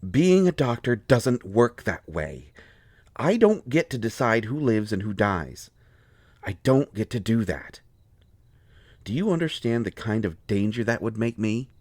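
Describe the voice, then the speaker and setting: A man playing an indignant, hurt healer mage in a fantasy roleplay audio, expressive, sarcastic and exasperated. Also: on the low side, unhurried, no echo. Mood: defensive. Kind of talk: dramatic roleplay audio acting.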